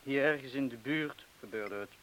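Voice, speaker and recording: A man's voice: medium pitch, normal speed, lightly acted. An actor playing a self-doubting wartime bomb disposal man, confessing his cowardice in a colloquial, bitterly self-deprecating way, as in a radio play. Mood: bitter confession. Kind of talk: Dutch radio play acting. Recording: hissy old radio play recording.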